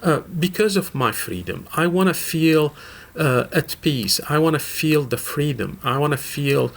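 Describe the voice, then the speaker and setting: Halting gravelly Syrian-born man. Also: on the high side, expressive. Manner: halting, many fillers and restarts, earnest. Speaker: guest from a local Islamic center. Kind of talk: radio interview.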